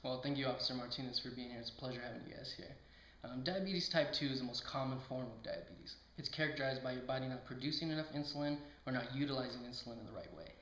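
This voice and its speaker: A man's voice: mid-range voice, fast talker, bright, forceful tone, close to an everyday voice. A man playing a reassuring endocrinologist who explains things in a scripted educational interview. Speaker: doctor being interviewed. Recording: echoey room.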